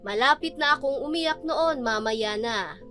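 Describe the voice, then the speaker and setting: A woman's voice: high-pitched, animated, normal speed, sharp tone, faint music behind. A woman playing a young female student telling her love story in an earnest, poetic radio drama narration. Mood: anxious, tearful memory.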